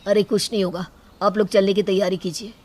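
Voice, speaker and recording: A woman's voice: mid-range voice, level tone, brisk, mellow, natural voice. Woman voicing a stern, brusque husband. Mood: brisk. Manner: scolding, brusque. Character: stern, dismissive atheist husband.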